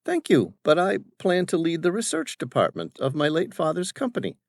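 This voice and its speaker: A man's voice: on the high side, wildly swooping pitch, normal speed, vocal fry, voice pushed up, exaggerated voice. A man voicing a composed young woman scientist who answers politely and with self-assurance.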